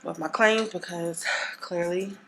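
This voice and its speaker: Woman, thirties, congested and stuffy, easygoing vlog chat; low fry-edged voice, unhurried delivery; noisy home recording. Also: steady tone, a bit bright. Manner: laid-back, rambling with fillers and throat clearing. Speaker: vlogger. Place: home kitchen.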